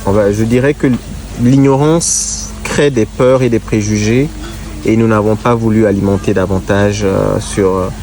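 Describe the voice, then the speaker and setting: Casting: man with a head cold, lowish voice, speaks slowly with pauses, gives practical advice straight to the viewer. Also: fairly even intonation, darkish tone, a little hiss or hum. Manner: measured, halting, gives advice directly to viewers. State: has a cold, congested voice. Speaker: motorcycle traveler. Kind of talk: casual reflective talk to camera.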